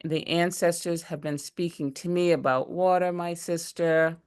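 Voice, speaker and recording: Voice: mid-range voice, steady tone, normal speed, darkish tone, clear, resonant tone. A moderator reading out audience questions from a chat over a video call, with brief asides. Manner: reads chat comments aloud, brief asides.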